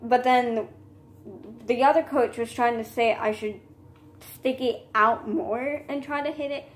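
A woman's voice: a little high, a little slow, slight background noise. A teenage girl venting in a rambling, casual monologue, filmed on a phone at arm's length in a small room. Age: teens. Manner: rambling, restarts and trailing asides. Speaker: vlogger. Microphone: phone camera at arm's length.

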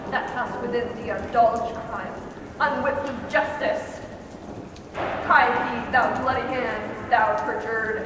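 A woman's voice: on the high side, lightly acted. A young woman voicing an aged, raging old king during a storm, in an amateur class-project puppet reading. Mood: accusing. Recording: echoey room, far from mic, background noise.

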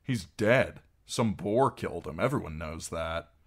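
A man's voice: low-pitched, animated, unhurried, breathy edge, full character voice. A man voicing a stubborn, scowling teenage boy in an audiobook, curt, scornful and challenging.

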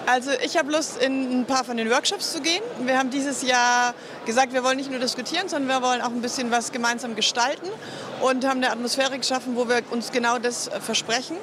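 A woman's voice: sits high, fairly even intonation, fast talker, crowd noise. A woman in her thirties answering interview questions at a convention venue into a reporter's handheld mic, upbeat and with many hesitation fillers. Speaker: marketing manager interviewee. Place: busy indoor convention venue. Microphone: reporter's handheld microphone.

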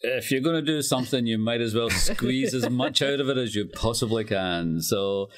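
A man's voice: mid-range voice, moderate pace, noisy background. A man from Scotland chatting casually as an interview guest, with a wry, self-deprecating manner. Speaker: guest, writer and lecturer.

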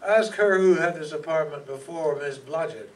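A man's voice: a little high, normal speed, lightly acted. Older man playing a wry hardboiled detective, distant mic. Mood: confident. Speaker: amateur radio play actor. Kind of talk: hardboiled radio detective narration and dialogue. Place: small hall stage, indoors. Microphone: stand microphone, recorded by far camera. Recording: noisy, distant hall PA recording.

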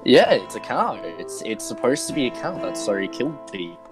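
A man acting out a bounty hunter in a game roleplay, with a mid-pitched voice. He rambles hesitantly and repeats himself, awkward but eager. Music plays behind him. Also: sing-song, brisk, lightly acted, low-quality recording. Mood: earnest. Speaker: player in a Minecraft roleplay. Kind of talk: improvised roleplay dialogue.

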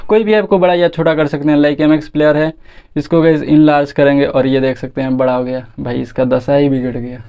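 A man's voice: fairly high pitch, fairly even intonation, fairly quick, warm tone, smooth. A young man narrating a phone tutorial casually and informally, talking straight to viewers. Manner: informal, addresses viewers as guys and friend. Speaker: tech video host.

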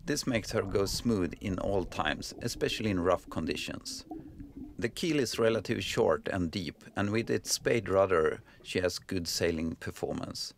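A Swedish man reads a scripted voice-over in steady, accented English. His voice is low and gravelly, and his delivery stays calm and explanatory. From Sweden. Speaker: sailing vlogger.

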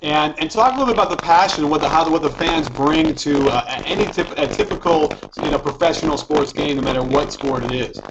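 A middle-aged man from Chicago hosting a casual interview over a video call, halting as he frames his questions. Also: fairly high pitch, vocal fry. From Chicago area, United States. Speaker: host, interviewer, basketball coach. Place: living room at home, on a video call. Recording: noisy, muffled video-call audio with clicks.

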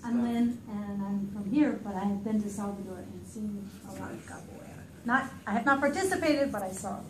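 A woman's voice: mid-range voice, brisk. A woman in a classroom introducing herself in a chatty, amused way. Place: classroom, indoors. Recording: echoey room, far from mic, crowd noise.

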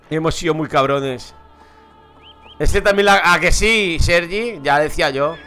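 This is a man from Madrid with a Madrid Spanish accent, talking casually over his gameplay into a headset. His voice sits high and comes through smooth and clean. He rambles through stories, swears freely and chuckles at himself. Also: pushed and bright. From Madrid, Spain. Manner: chatty, swears, laughs at own stories. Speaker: gaming streamer. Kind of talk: casual let's-play commentary.

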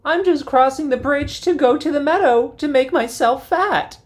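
A nervous, middle-sized billy goat, as a woman narrator puts him on, pitched far above her own voice and fluttery. His pleading comes out loud and squeaky, as in a storybook puppet show. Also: moderate pace, lightly acted.

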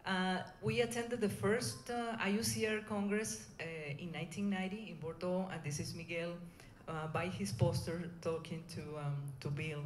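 Middle-aged female presenter, Venezuelan Spanish accent, lowish pitch, hesitant delivery, roomy conference sound. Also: fairly even intonation, moderate pace, few pauses. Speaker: conference speaker, scientist. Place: indoor conference room. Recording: echoey room, clear background noise.

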